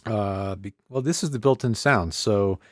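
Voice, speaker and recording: A man's voice: on the low side, measured pace, no room sound. A man presenting a casual hands-on audio gear tutorial, thinking aloud in a conversational way on a close studio microphone. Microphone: close studio podcast microphone.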